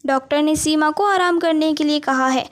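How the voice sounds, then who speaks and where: A woman's voice: high-pitched, moderate pace, close to an everyday voice. A woman voicing a stern, scolding father in a storybook. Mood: angry. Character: stern, angry protective father.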